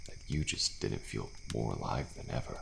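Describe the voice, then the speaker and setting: A jaded, thrill-seeking survivor played by a man rumbles in a very deep, heavily gravelled voice. He drawls slowly and lazily, pausing and sighing, in an intimate audio roleplay. Crickets and faint campfire noise sit behind him. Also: lively intonation, a bit bright, exaggerated voice. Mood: wry, fatalistic. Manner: slow, drawling, laid-back, with pauses and sighs. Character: weary, thrill-seeking male survivor of endless killer trials. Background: campfire ambience with crickets.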